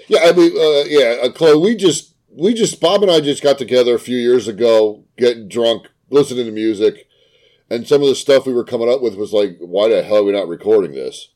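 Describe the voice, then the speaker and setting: A man's voice: mid-range voice, fairly quick. Man with a smoker's voice, swearing freely. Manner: swears freely, animated storytelling. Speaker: podcast host. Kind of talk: casual podcast chat.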